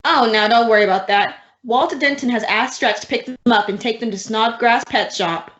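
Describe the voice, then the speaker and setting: Wry, practical lady teacher for radio comedy, woman actor, voice on the high side, muffled recording. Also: fairly quick, a bit bright, close to an everyday voice. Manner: dry, wry. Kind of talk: old-time radio comedy acting with narration. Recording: dull lo-fi radio drama audio.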